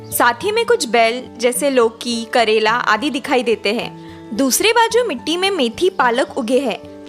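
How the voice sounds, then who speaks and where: A woman narrating a scripted moral story. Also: high-pitched.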